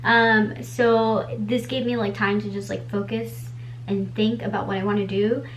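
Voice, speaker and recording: A woman's voice: mid-range voice, flat delivery, unhurried, a little hiss or hum, rough recording. A young woman in her twenties, a native Spanish speaker, chatting casually at home while doing her makeup, rambling with lots of "like" and the odd swear word. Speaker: beauty vlogger.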